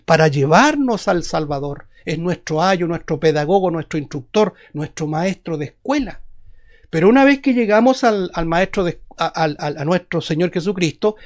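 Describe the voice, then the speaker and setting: Male evangelical preacher, Chilean accent, high voice, teaching scripture with emphasis and repetition. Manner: didactic, emphatic, repeats phrases and asks rhetorical questions. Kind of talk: sermon, Bible teaching.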